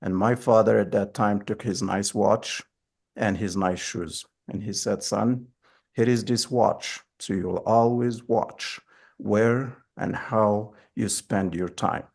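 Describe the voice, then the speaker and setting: A man in his forties answers interview questions with an earnest, storytelling manner. His voice sits low and carries a gravelly edge throughout. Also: steady tone, slow pace, a little mellow. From Morocco. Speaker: school board candidate.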